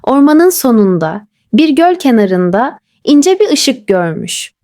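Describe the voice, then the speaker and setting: Gentle high lilting woman storyteller. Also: sing-song, takes it slowly, no room sound, studio quality. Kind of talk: bedtime story narration.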